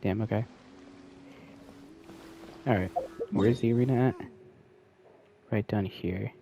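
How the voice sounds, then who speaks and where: A man's voice: fairly low pitch, slow pace, creaky, mellow, slight background noise. A man giving casual gaming commentary, slangy, irreverent and sarcastic. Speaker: gameplay commentator.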